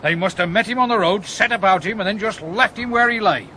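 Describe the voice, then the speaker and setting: A man's voice: sits high, a little fast, bright, forceful tone, a little hiss or hum, low-quality recording. An actor playing a plain-spoken, pious medieval countryman with a British accent, earnest and questioning, in a radio drama. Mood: agitated.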